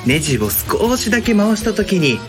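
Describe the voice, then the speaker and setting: A woman's voice: medium pitch, sing-song, normal speed, natural voice. A woman giving dramatic, scripted trailer narration for an audio drama. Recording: music behind the voice.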